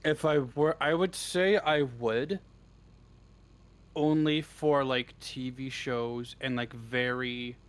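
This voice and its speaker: A man's voice: mid-range voice, steady tone, slow pace, clear, resonant tone. A man chatting casually as an interview guest, blunt and informal, swearing freely. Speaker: interview guest, script writer. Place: live online voice stream.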